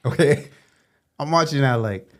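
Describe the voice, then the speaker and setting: A man's voice: mid-range voice, expressive, measured pace, fairly bright tone. A man hosting a podcast, chatting casually, laughing often and asking long, rambling questions. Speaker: podcast host. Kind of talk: casual interview chat.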